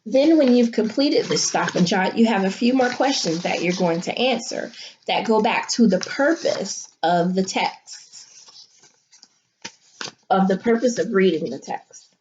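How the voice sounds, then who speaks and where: A woman teacher reading a picture book aloud to children and talking them through it, with expressive, dramatic delivery and drawn-out emphasis. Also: medium pitch, muffled recording, slight background noise. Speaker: elementary school teacher.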